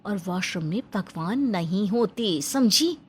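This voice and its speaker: A woman voicing an exasperated, scornful urban elder sister-in-law who scolds and bosses in an animated family story. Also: medium pitch, slow pace, slight background noise. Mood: scolding. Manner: scolding, bossy, emphatic.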